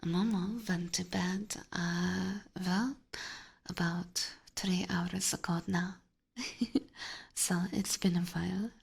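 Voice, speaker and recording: Young female streamer, whispered delivery, low-pitched and unhurried, gravelly. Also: fairly even intonation. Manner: whispering, with frequent soft laughs. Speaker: live streamer reading chat. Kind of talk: soft-spoken whispered chat with viewers.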